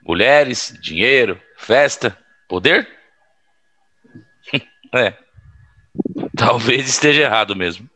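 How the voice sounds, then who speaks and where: An actor playing a teasing, foul-mouthed young buddy in an audio drama, raspy and mocking with slangy speech. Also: male voice, mid-range voice, lively intonation, takes it slowly, long pauses, sharp tone, slight background noise.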